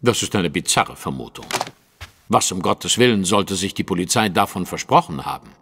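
An incisive, superior gentleman detective in an audio drama. The low voice moves in lively swoops, lecturing with pointed precision. A long, hall-like reverb trails the words. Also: moderate pace, a little hiss or hum.

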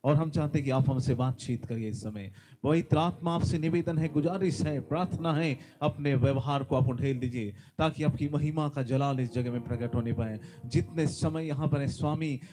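A man in his forties, a visiting preacher giving a sermon with prayer on a large conference stage into a handheld mic, fervent and emphatic, often calling out hallelujah. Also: mid-range voice. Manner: fervent, repeats hallelujah, loud emphatic bursts. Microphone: handheld microphone.

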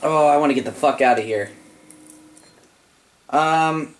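A host playing a horror game, scared and swearing, with a voice that is wearing out from yelling. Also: mid-range voice, unhurried. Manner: frightened, swearing, bursts of yelling at enemies. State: voice giving out. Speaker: gaming channel host. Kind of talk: live let's-play commentary. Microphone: gaming mic.

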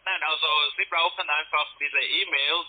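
A man's voice: on the high side, steady tone, normal speed, breathy edge. A man speaking non-native German with a foreign accent, a polite call-centre agent giving instructions and adding questioning tags. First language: not German. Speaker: call centre account manager. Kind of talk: scripted-sounding phone sales and support. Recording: tinny band-limited phone line.

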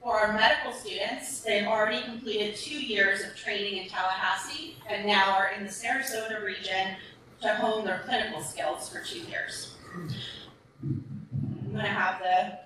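A woman's voice: mid-range voice, measured pace, background noise. A woman speaking as a medical campus dean, proudly introducing new students at a public board meeting. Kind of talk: formal public remarks. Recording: echoey meeting room from far off.

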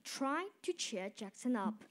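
Girl narrator, storybook style, high voice, animated pitch. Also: takes it slowly. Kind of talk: storybook narration in a school radio drama.

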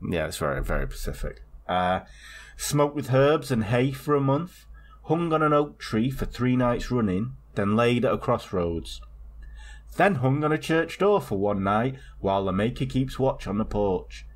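A man's voice: medium pitch, big pitch swings, a little slow. A man co-hosting a casual true-crime podcast, telling stories in a rambling way, swearing and laughing as he goes. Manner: rambling storyteller, swears, laughs mid-sentence. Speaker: podcast co-host.

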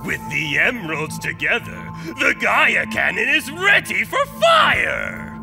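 A man playing a villainous, bombastic mad scientist with a gravelly voice in a cartoon comic dub. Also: high-pitched, huge pitch swings, takes it slowly, heavily acted. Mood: gloating menace. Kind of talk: animated comic dub acting. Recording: loud music under the voice.

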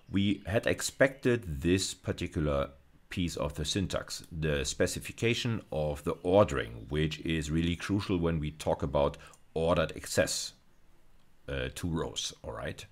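Casting: middle-aged man, low-pitched voice, university lecturer explaining technical material in measured, emphatic phrases. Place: indoors, recorded lecture over slides.

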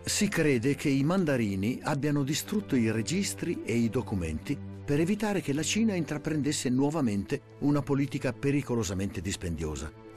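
A man's voice: mid-range voice, sing-song, moderate pace, a little mellow, soft background music. A man reading scripted documentary narration.

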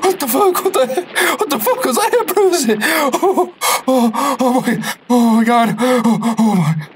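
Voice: high voice, lively intonation. An actor in an audio drama playing a spooked, stammering witness to hauntings. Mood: panicked. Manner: stammering, drawn-out, exclaiming. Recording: noisy ambient background.

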